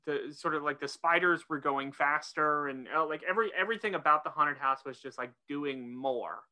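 A woman's voice: sits low, brisk, pushed and bright. A woman co-hosting a podcast in casual discussion, hesitant and often repeating words as the thought forms. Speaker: podcast co-host.

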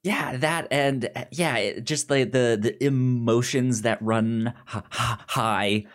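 A podcast co-host chatting casually about film, speaking haltingly with repeated words and frequent restarts. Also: mid-range voice.

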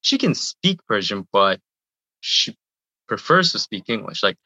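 Bright-voiced Iranian-born man, chatty. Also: fairly low pitch, very animated, unhurried, slightly rough, dry recording. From Iran. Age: 30s. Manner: chatty, repeats words and says "uh" a lot. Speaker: guest, YouTuber. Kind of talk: casual interview answers.

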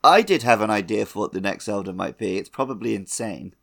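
A man's voice: on the low side, lively intonation, a little fast, vocal fry, fairly bright tone. A man co-hosting a podcast in casual, conversational chat. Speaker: podcast co-host.

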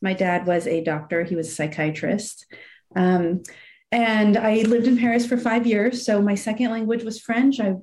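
A woman's voice: mid-range voice, steady tone, normal speed. A woman born in Iran, a nonprofit executive director, chatting as an interview guest and telling her story with small laughs and fillers. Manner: warm, reflective storytelling with soft laughs and fillers. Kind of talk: casual interview chat.

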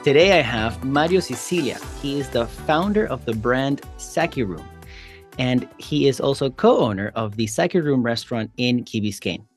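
A man's voice: medium pitch, expressive, moderate pace, faint music behind. A man hosting a local community interview show, asking questions in an upbeat way with brief acknowledgments. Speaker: podcast host.

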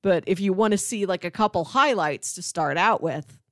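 A woman with a slightly low voice in a very dry recording. She talks casually and conversationally, as the co-host of a podcast. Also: lively intonation, normal speed, no echo.

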